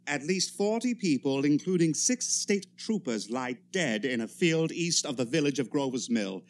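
A solemn male bulletin reader in an old-time radio play. The voice is slightly high, formal and a touch halting, with a faint hum underneath. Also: animated. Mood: grave. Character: grave, formal male radio news announcer. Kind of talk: 1930s radio acting, news bulletin.

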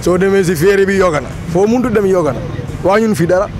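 Fast-talking man complaining on the street. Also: high-pitched. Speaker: passer-by interviewee. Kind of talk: street opinion. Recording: outdoor street noise.

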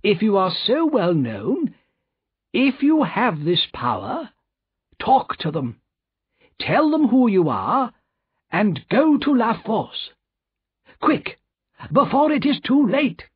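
A man voicing an anxious, urgent gentleman banker with an agitated, pleading manner in a storybook reading. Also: high voice, very animated, takes it slowly, long pauses, slightly rough, lo-fi sound, voice pushed up, exaggerated voice.

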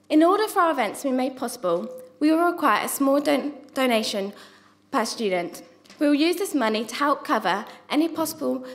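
A young woman student, not from New Zealand, giving an earnest prepared speech from notes in a university lecture theatre into a stand microphone, with a few small restarts. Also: high voice. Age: young adult. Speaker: student presenter.

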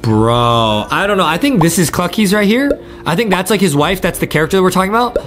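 A man in his twenties giving excited gameplay commentary, eagerly repeating his phrases. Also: high voice, fast. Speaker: gaming video host. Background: faint game music.

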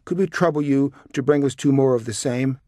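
A man's voice: fairly low pitch, expressive, normal speed, darkish tone, no echo, clean studio sound. A man voicing a boisterous, middle-aged father in a short story, pompous and sarcastic, barking orders. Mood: loud, imperious. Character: loud, boisterous, imperious middle-aged father demanding service.

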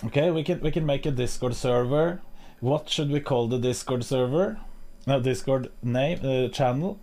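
A Norwegian-accented man in his thirties talks to his live chat at an unhurried, halting pace, full of "uh" and restarts. He speaks close into a studio microphone at his home streaming desk. His voice sits at an ordinary pitch for a man. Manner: slow, hesitant, many fillers and restarts. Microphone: studio mic on boom arm, headphones.

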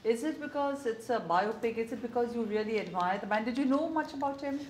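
An older woman from India with an Indian English accent, hosting an interview with brisk, direct questions. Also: a little high, expressive, darkish tone, slight background noise. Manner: brisk questions, short affirmations. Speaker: interviewer host. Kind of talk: conversational interview. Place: small warm-lit room.

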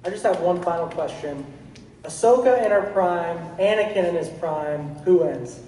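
Man, fan at an audience mic, mellow and casual; distant in an echoey hall. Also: fairly high pitch, moderate pace, slight background noise. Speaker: audience member asking a question. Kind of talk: audience Q&A question.

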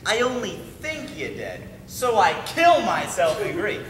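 A man's voice: high voice, big pitch swings, measured pace, fairly bright tone, a little hiss or hum, exaggerated voice. A man voicing an eager, bossy actor who explains a play scene in theatrical bursts. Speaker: actor rehearsing the lead. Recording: echoey hall from far off.

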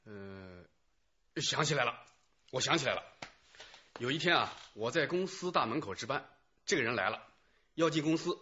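A man's voice: medium pitch, animated, moderate pace, pauses often, pushed and bright, a little hiss or hum. An actor playing a helpful company security guard in a radio drama, hesitant and colloquial as he recounts what he remembers. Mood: eager recollection. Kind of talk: Chinese radio drama acting. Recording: muffled band-limited radio drama audio.

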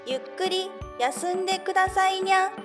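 A woman's voice: very high-pitched, normal speed. A woman voicing a cute cat-shaped smart speaker in an audio drama, adding a cat-like "nya" to her sentences. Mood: cute, helpful. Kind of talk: radio drama acting. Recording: with background music.

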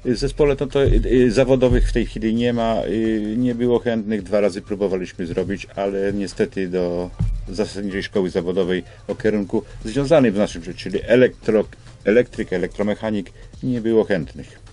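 An older man explains things as a guest in a radio interview, with halting phrasing, on a studio microphone. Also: lowish voice, fairly even intonation, dark tone, soft background music. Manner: halting, hesitant phrasing with repeated starts. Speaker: school representative, radio guest. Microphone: studio microphone on boom arm.

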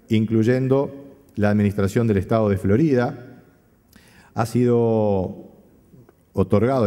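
A middle-aged man from Uruguay at a podium in a large conference hall, formally reading out a guest speaker's biography with pauses and self-corrections. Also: on the low side, mellow. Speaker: conference moderator. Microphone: podium microphone. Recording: long hall reverb.

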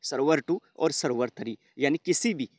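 A man's voice: on the high side, moderate pace, darkish tone, dry recording, clean studio sound. A man narrating a screen-recorded how-to tutorial, walking viewers through each step with many repeated fillers. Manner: step-by-step, repetitive fillers, addresses viewers as friends. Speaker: tech tutorial host.

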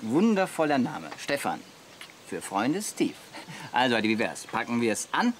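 An actor playing a smooth-talking, bossy advertising photographer with a gushing, directive manner in a comic radio play. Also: male voice, mid-range voice, big pitch swings, moderate pace, a little hiss or hum, natural voice. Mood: flattering. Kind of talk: German radio play acting.

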